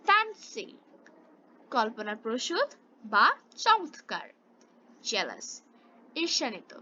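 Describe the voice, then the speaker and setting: A very high-voiced woman with a Bengali accent teaches English from a script. Her delivery is deliberate and lively, with big swings in pitch. The recording is dull and lo-fi. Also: slight background noise. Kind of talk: scripted story lesson with vocabulary glosses.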